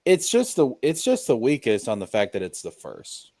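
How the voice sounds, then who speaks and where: A man in casual chat, talking haltingly and repeating words for emphasis. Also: medium pitch, huge pitch swings, warm tone, no echo, clean studio sound.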